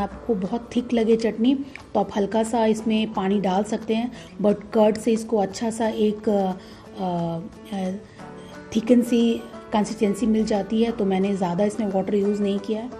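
A woman giving calm, step-by-step cooking instructions as a voice-over, with frequent "uh" fillers. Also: mid-range voice, moderate pace, faint music behind. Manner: calm, instructive, many "uh" fillers, mixes English words into Hindi. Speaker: cooking channel host. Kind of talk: recipe voice-over while cooking.

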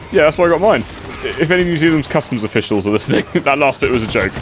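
A man's voice: a little high, quick pace, background noise. A young man chatting and teasing friends over voice chat while gaming, laughing often. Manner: laughs a lot, teasing, playful. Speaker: gamer streamer. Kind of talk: casual group gaming chat.

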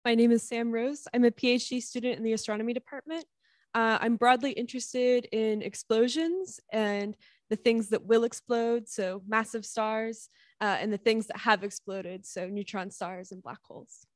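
A woman's voice: a little high, fairly even intonation, moderate pace, no room sound, clean studio sound. A woman in her twenties, a PhD student, plainly introducing her research on a panel. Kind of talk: brief self-introduction.

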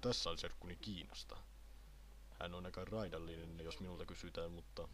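A man voicing a boastful, menacing muscular hunter in an amateur anime fan dub, rambling in a deadpan way. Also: sits low.

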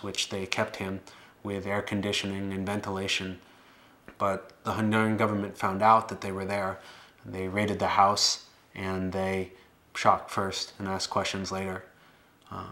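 Male, late 20s, American. Low, flat delivery, unpolished and plain-spoken, first-person documentary testimony. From Boston area, United States. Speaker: documentary subject telling his life story. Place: living room, indoors.

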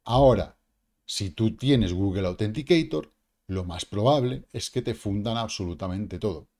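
Chatty Spanish man with a low, mellow voice. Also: expressive, normal speed. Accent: Peninsular Spanish. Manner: chatty and emphatic, peppered with mild swearing and tag questions. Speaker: crypto channel host. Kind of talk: casual explainer monologue. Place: small room indoors.

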